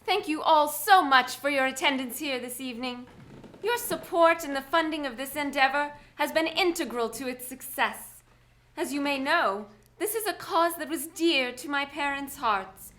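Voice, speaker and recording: A poised, wealthy society lady, played by a woman in a live radio drama. Her voice is high and bright. She speaks with formal, polished composure. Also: normal speed, a little hiss or hum. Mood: formal, gracious.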